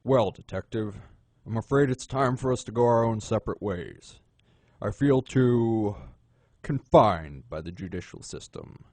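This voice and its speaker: A man playing a suave, menacing gentleman crime boss in an audio drama, unhurried and courtly in manner. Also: fairly low pitch, animated, no room sound. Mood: smooth, self-assured.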